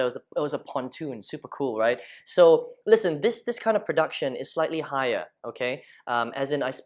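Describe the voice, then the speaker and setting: A man in his thirties from Malaysia, teaching online marketing over a screen share in a chatty way, often checking in with the listener. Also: medium pitch. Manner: chatty, keeps checking in with "okay?". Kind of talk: casual screen-share tutorial. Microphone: lapel mic.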